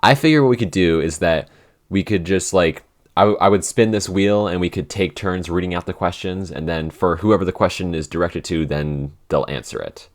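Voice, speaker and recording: A man's voice: sits low, normal speed. A man co-hosting a casual podcast Q&A, talking hesitantly with many restarts and fillers. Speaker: podcast head writer and editor, co-host.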